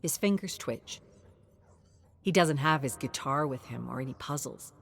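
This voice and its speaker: A woman with a fairly low voice narrates a scripted story in short, measured phrases. Her intonation moves around a lot, giving the telling an expressive, dramatic feel. There is faint background noise under the voice. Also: vocal fry.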